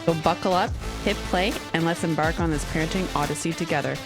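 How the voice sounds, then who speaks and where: A woman's voice: low-pitched, quick pace, music in the background. A woman co-hosting a parenting podcast, reading an upbeat scripted intro. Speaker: podcast co-host.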